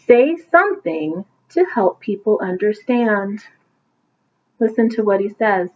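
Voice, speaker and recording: Lively woman reading aloud over thin, phone-like audio. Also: mid-range voice, slightly rough. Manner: encouraging, explaining simply to young listeners. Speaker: teacher reading a bedtime story. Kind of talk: animated read-aloud of a picture book for children, with explanatory asides. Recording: thin, phone-like narrowband sound.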